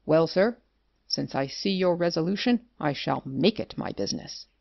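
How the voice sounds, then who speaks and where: Gravelly, indignant creditor played by a man. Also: fairly high pitch, animated, normal speed, no room sound, dull audio. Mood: resolute. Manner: righteous, scolding. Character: indignant, pious creditor demanding his money. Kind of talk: theatrical period comedy acting.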